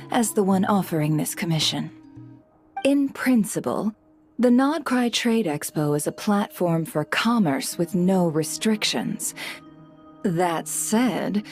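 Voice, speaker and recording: A woman's voice: medium pitch, a little mellow. An actress playing a composed, courteous and shrewd businesswoman in a video game, speaking in a polished, confident manner. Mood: smooth, assured.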